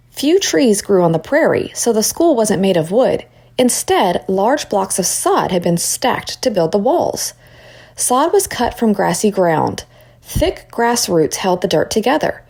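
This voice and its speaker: A woman reading a children's story aloud as an expressive narrator. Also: medium pitch, vocal fry, breathy edge. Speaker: storybook reader.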